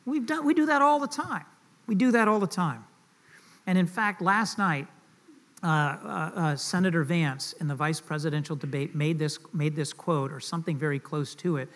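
A man's voice: on the high side, moderate pace, fairly bright tone. A middle-aged man from the southern United States preaching a sermon, talking casually, joking and asking the congregation questions. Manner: conversational and joking, asks the audience questions. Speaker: pastor.

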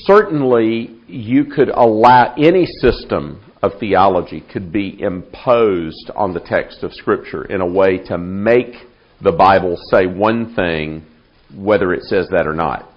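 A man, a theology professor, answering audience questions in a live talk, measured and unhurried with many fillers and restarts, with a loud voice. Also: deep voice, muffled recording. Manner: loud projecting voice.

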